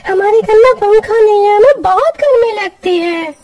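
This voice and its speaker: Squeaky, echoing little child. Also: female voice, animated, normal speed, far from the mic, a loud crowd. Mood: innocent asking. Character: small child, innocent and wishful. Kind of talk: children's cartoon story.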